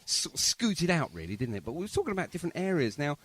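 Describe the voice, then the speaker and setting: A man from London with a London accent hosts a podcast in a very animated voice that swoops around in pitch. He talks casually and jokily, rambling a little and throwing in bantering asides. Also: fast. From London, England. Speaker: podcast host. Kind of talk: casual jokey interview chat.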